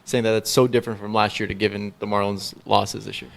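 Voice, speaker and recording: A man's voice: lowish voice, flat delivery, quick pace, vocal fry. A man hosting a sports podcast, asking long, reasoned questions in a conversational way. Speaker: podcast host.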